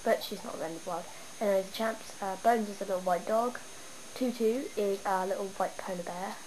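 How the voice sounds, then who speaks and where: A chatty young woman with a cold, her voice a bit stuffy, rambling happily as she tours her game town. Her pitch sits in the middle, and the home recording carries a steady hiss of noise behind her. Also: brisk. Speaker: gamer showing her town. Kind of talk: casual game walkthrough. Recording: noisy home camera recording. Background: steady background noise.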